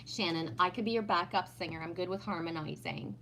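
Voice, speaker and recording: A woman's voice: lowish voice, a little fast, fairly bright tone, slight background noise, rough recording. A woman in her fifties from Texas, hosting a casual livestream, teasing playfully, laughing often and reading out chat comments. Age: 50s.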